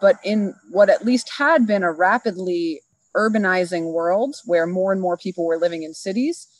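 A woman's voice: lowish voice, darkish tone, no room sound, low-quality recording. A woman program leader explaining her ideas in a thoughtful, articulate way. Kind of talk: reflective interview answer.

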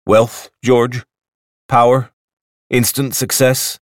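A man's voice: mid-range voice, level tone, takes it slowly, no echo, clean studio sound, pitched above the performer's own voice, lightly acted. A man voicing a sour, peevish male clubman of about fifty, sardonic and lazy.

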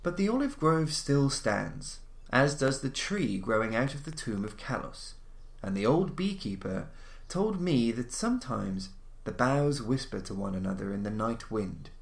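A man reading a literary horror story as scripted narration, in a measured, formal storytelling manner. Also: medium pitch, vocal fry. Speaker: audiobook-style narrator of classic horror stories. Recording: roomy, echoing voice recording.

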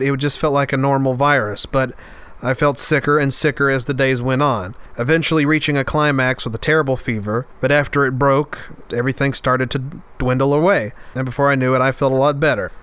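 A man's voice: mid-range voice, a little fast, fairly bright tone, smooth. A male podcast host speaking with a nasal, stuffed-up voice in an earnest, heartfelt, reflective monologue. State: stuffed up after an illness.

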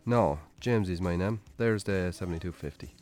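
An actor with an Irish accent playing a troubled, defensive, hard-drinking farmer in a radio drama, gruff, curt and sweary. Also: male voice, deep voice, normal speed, darkish tone, soft background music, close to an everyday voice. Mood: curt, impatient. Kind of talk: naturalistic radio drama acting.

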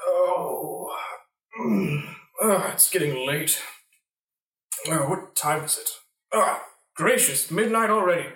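A man's voice: sits high, slow pace, pauses often, a bit bright, far from the mic. Man playing a jittery young lawyer, echoey recording. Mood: weary, chatty. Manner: hesitant, jittery muttering to himself. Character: nervous young lawyer walking home alone at night. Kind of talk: improvised radio play acting. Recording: echoey room, off the mic.

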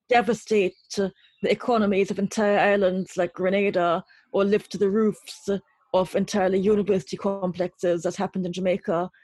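A woman from Trinidad, answers interview questions in a reflective, literary way. Her voice sits at a middle pitch with some huskiness, and she chooses phrases deliberately. The sound is dry, with hardly any room to it. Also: darkish tone, husky, no echo. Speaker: interview guest, poet and writer.

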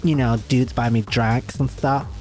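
A woman's voice: a bass voice, fairly even intonation, a little slow, a little mellow, faint music behind. A woman doing improv comedy, playing a blunt, crude, spacey rich girl trying to pick up a guy at a bar. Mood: airheaded.

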